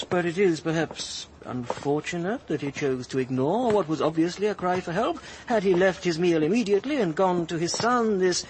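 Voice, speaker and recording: A sardonic, urbane young Englishman, played by a man in a radio drama. His voice is fairly high and swings freely as he argues with cynical, articulate ease. There is faint noise behind him. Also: natural voice, low-quality recording. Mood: mock pompous.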